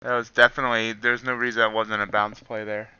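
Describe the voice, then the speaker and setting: A hoarse man hosting a livestream, chatting casually as he reacts to the chart and greets viewers. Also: on the low side, flat delivery, quick pace, sharp tone, no room sound, muffled recording. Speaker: futures trader hosting a livestream. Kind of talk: casual live-stream market commentary.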